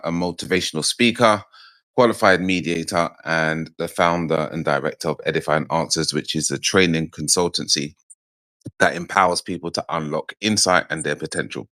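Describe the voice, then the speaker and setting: A tired man introducing himself on a video call, formal and deliberate. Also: sits low, pushed and bright, no room sound. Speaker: trainer and motivational speaker.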